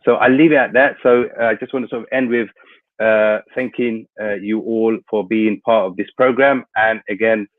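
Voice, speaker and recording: A man's voice: lowish voice, fairly even intonation, moderate pace, no room sound. Man on a thin call line. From London, England. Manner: hesitant, many fillers and restarts. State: hay fever, may sneeze. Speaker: guest speaker from an international organisation. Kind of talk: webinar opening remarks. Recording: thin phone-like webinar audio, dry.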